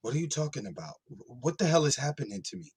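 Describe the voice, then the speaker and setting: A man reading a young hacker under pressure in a radio play, with a husky voice and a casual, blunt delivery. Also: on the high side, big pitch swings, quick pace, darkish tone, full character voice. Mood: bewildered.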